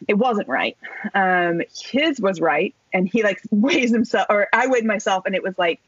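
A woman in her thirties telling a story as a podcast guest, talking in a casual, animated way with lots of fillers and the odd swear word. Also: mid-range voice, measured pace, breathy edge, no echo. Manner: animated, chatty, many fillers and swear words. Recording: dull dry podcast recording.

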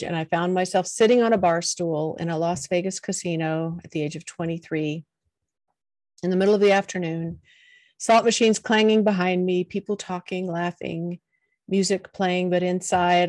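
Middle-aged woman, soft-spoken and earnest, lowish voice, dry booth sound. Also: fairly even intonation, normal speed, dry recording. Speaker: podcast guest, confidence coach. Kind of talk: personal storytelling in an interview.

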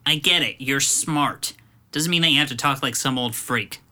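A man's voice: medium pitch, moderate pace, pushed and bright. A man playing a snide, grudging teenage cousin in an audio drama, talking in a blunt, mocking way. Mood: irritated, mocking.

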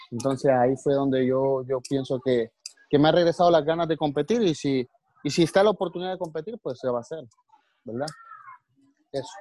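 A man's voice: on the high side, dark tone, dry recording. A man from El Salvador with a Salvadoran accent, a martial arts coach telling his story earnestly in an interview, often restarting his sentences. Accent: Salvadoran Spanish. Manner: earnest, many restarts and religious asides.

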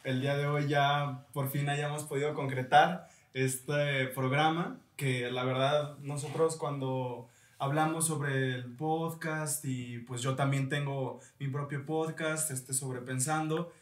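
A man's voice: medium pitch, little pitch movement, takes it slowly. A man hosting a podcast, who talks in a friendly, conversational way with casual fillers. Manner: friendly, conversational, uses fillers like "pues" and "este". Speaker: podcast host. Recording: roomy, echoey room.

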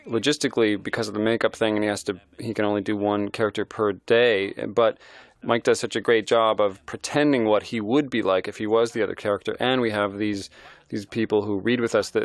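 A middle-aged man, a film director, giving an admiring, halting film commentary. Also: on the low side, no echo. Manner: thoughtful, admiring, halting restarts.

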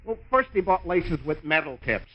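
Give me a frantic, nerve-shattered salesman from old radio comedy, high-voiced and swinging wildly in pitch. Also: sing-song, normal speed. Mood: mounting hysteria. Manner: agitated, building into hysterical shouting. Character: frantic, nerve-shattered department store salesman, a psychiatric patient. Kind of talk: 1950s radio comedy acting. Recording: dull lo-fi old radio broadcast.

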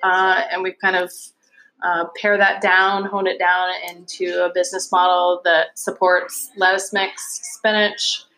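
A woman's voice: on the low side, flat delivery, unhurried, pushed and bright, slight background noise, low-quality recording. Chatty woman farmer from Virginia. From Virginia, USA. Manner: friendly, chatty, many ums and restarts. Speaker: guest, vegetable farmer. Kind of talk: casual interview chat.

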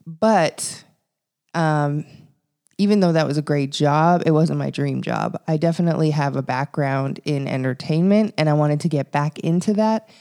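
A woman's voice: sits low, normal speed, mellow. A woman from Canada in her thirties, co-hosting a podcast and chatting casually about her life. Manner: chatty storytelling with fillers and laughs. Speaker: podcast co-host.

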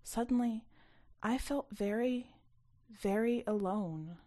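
A woman reading scripted first-person fiction aloud, expressively, with some hushed asides. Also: fairly high pitch, takes it slowly. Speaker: story reader.

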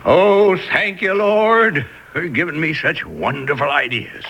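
A man's voice: on the high side, animated, unhurried, bright, forceful tone. A man playing a scheming, pious-talking swindler in a radio western, bossy and folksy, with a rural Western American accent. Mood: smug command. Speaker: actor. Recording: noisy vintage radio broadcast.

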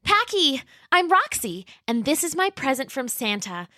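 A woman voicing a sweet, polite young female T-Rex in a high, pleading, childlike voice. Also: big pitch swings, a little slow, bright, forceful tone, dry recording, clean studio sound, heavily acted.

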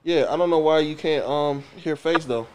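A man with a slightly high voice hosts an amateur radio show with his friend. He swears freely and jokes in casual banter. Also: moderate pace, a little hiss or hum, rough recording. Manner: loose, swearing, laughing, calls friends on air.

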